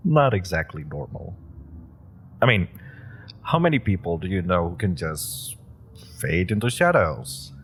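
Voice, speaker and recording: A man's voice: sits low, slightly rough, full character voice. A man playing an eager, giggly superpowered rookie. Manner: rambling, giggly, stumbling over words. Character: eager young male superpowered rookie, fanboyish. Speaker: voice actor. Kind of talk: audio roleplay drama.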